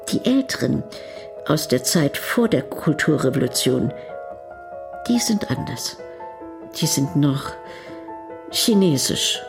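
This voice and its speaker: A woman playing a candid older retired wife in a radio documentary play, plain-spoken and conversational. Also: mid-range voice. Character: candid older retired wife, a grandmother living between cultures.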